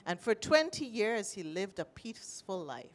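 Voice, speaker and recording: A middle-aged woman with a Trinidadian accent, sharing a personal story at a panel with animated, emotional delivery, speaking into a handheld mic. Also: medium pitch, normal speed. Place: panel stage in a large indoor room.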